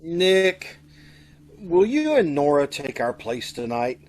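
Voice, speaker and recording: A man playing a nervous, excitable bridegroom, flustered and pleading in old-time radio comedy style. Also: on the high side, takes it slowly.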